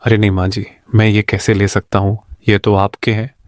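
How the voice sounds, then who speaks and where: A man's voice: on the low side, level tone, moderate pace, no room sound, lightly acted. Man playing a meek village tailor, dry sound. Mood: respectful, modest. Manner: deferential, hesitant. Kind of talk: cartoon story acting.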